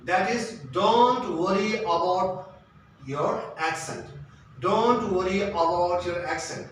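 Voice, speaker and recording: Man, slow deliberate tutoring, emphatic and repetitive, very echoey distant recording. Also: sits high, fairly bright tone. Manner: emphatic, repetitive, asks questions and answers them. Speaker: English exam tutor. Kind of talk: instructional lecture. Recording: echoey room, distant mic, faint noise.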